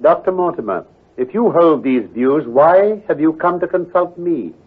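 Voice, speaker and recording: An actor playing a shrewd, coolly sceptical detective, questioning with incisive authority in old-time radio drama style. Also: male voice, a little high, animated, normal speed. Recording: muffled, husky old radio recording.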